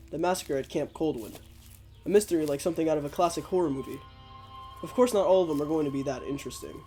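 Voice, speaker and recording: An investigative journalist played by a man talks into his field log in an audio drama. His voice sits a little high for a man, and he muses in a conversational, wry way. Faint music plays behind him. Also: warm tone, natural voice. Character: male investigative journalist recording a log while hiking. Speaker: journalist narrator of a mystery series.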